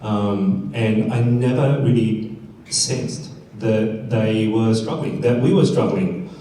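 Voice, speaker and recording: A man reflecting on his life in conversational, measured speech as a panelist. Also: lowish voice, dark tone. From South Korea, then Australia. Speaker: panelist at a community fireside chat. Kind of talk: reflective off-the-cuff personal storytelling. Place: event stage in an echoey indoor room. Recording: echoey room, distant mic, faint noise.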